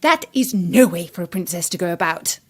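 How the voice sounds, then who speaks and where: A woman's voice: fairly low pitch, expressive, a little fast, husky. A woman playing a scornful wicked stepmother queen in a children's story, catty and disdainful.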